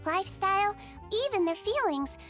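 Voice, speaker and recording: Tiny, very high-pitched nurse creature girl. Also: lively intonation, moderate pace, a bit bright, soft background music. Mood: chatty, helpful. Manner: sweet, polite, chirpy bedside manner. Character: small cheerful melusine nurse girl, caring and gently quirky. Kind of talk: animated video game character voice acting.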